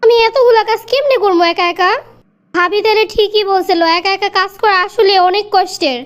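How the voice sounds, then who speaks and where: A woman's voice: extremely high pitch, brisk. A woman voicing a stubborn young wife in a cartoon family comedy, complaining and repetitive, with a Bangladeshi Bengali accent. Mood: overwhelmed, regretful. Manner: complaining, repeats words. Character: quarrelsome, stubborn young wife and sister-in-law.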